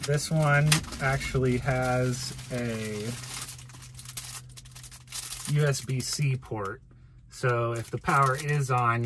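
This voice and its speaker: A man's voice: mid-range voice, fairly bright tone, a little hiss or hum. A man in his thirties giving a casual, plain-spoken hands-on gear walkthrough from inside a parked vehicle. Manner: plain-spoken, informal explaining. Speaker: video host showing gear. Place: inside a parked van cab.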